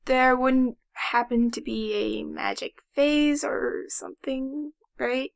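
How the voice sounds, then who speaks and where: A woman's voice: high-pitched, steady tone, takes it slowly, gravelly, exaggerated voice. A woman playing a brainy, exasperated young boy inventor in a fan audio drama, explaining things in a precise, know-it-all way. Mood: exasperated, sceptical.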